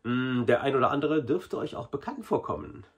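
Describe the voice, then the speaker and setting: A man's voice: mid-range voice, wildly swooping pitch, brisk, vocal fry, breathy edge. A man in his forties giving a casual, chatty review to camera in a small room. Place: small indoor hobby room.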